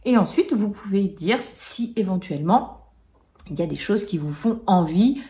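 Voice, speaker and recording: A woman's voice: medium pitch, expressive, moderate pace, warm tone. Forties knitting lady chatting to cam at home, lots of umms, easygoing. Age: 40s. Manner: chatty, hesitant with frequent "euh" fillers and light laughs. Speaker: knitting vlogger. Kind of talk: casual chat to camera.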